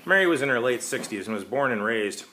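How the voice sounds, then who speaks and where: A man's voice: medium pitch, animated, quick pace, bright, forceful tone, slight background noise, low-quality recording. A man reading his own short story aloud as narrator, in a wry, conversational manner.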